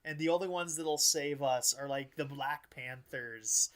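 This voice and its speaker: A man's voice: mid-range voice, unhurried, a bit bright. A man chatting casually as a podcast co-host, rambling in stops and starts with plenty of filler words. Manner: rambling, filler-heavy, stop-and-start delivery.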